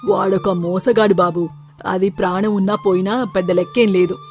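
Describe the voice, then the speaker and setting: A woman's voice: medium pitch, fairly even intonation, moderate pace, a little mellow, soft background music, natural voice. A woman voicing a harsh, proud village landlord and father in a storybook tale, emphatic and commanding. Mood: angry, scornful. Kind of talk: storybook character acting.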